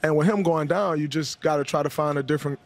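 A young man guest on a postgame studio set, speaking into a handheld mic in a relaxed, thoughtful way with many fillers. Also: a little high, fast talker. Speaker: guest player.

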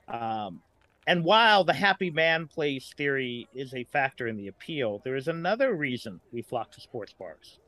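A man's voice: mid-range voice, pushed and bright, no echo. A middle-aged man talking casually as a writer guest on a podcast.

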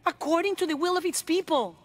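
A woman's voice: extremely high pitch, fast talker. An actress playing a steadfast, defiant young queen in a video game cutscene, speaking in a grave, resolute way. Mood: challenging.

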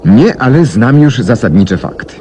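An actor playing a brilliant, self-assured detective in 1970s radio drama style, courteous, deliberate and articulate as he explains things. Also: medium pitch, lively intonation, dark tone, close to an everyday voice. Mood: explanatory. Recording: 1970s radio recording with faint noise.

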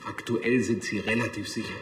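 Actor as a low-voiced, calm doctor. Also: male voice, brisk, lightly acted. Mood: calm, reassuring. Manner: reassuring, matter-of-fact. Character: calm, businesslike male lab doctor. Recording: echoey room, clear noise, clicks.

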